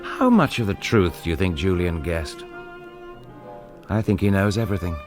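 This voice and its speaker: A restrained, brooding English gentleman in a radio play. His voice is fairly low and troubled, with soft music at times behind it. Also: animated, normal speed. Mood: brooding, uneasy. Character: reserved, brooding upper-class English husband. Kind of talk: British radio drama acting.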